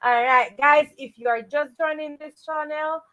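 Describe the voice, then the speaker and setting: A woman's voice: high voice, steady tone, normal speed, fairly bright tone, slight background noise. A woman co-hosting a children's livestream, greeting viewers and often repeating set welcoming phrases.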